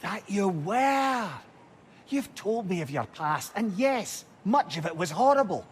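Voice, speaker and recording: A witty older scottish advisor in a game, swingy higher voice, talks a lot. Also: male voice, very animated, measured pace, slight background noise. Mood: earnest, encouraging. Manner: wry, chatty, counselling. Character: witty, talkative, wise older male companion. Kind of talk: video game cutscene acting.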